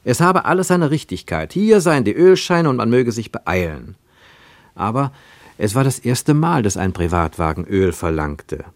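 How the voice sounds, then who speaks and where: A man reading scripted literary narration for a radio play in a measured, precise and expressive storytelling manner. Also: medium pitch, no room sound, clean studio sound.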